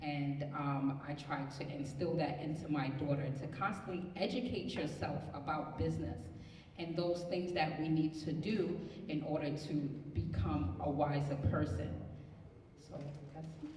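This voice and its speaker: A woman from Harlem speaks in a low voice, earnest and motivational. She sits on a community panel, heard from far off in a roomy hall with a long echo and light background noise. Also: steady tone, moderate pace, mellow. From Harlem, New York. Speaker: panelist, community health activist. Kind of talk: personal introduction at a community panel. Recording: echoey hall, distant mic, some noise.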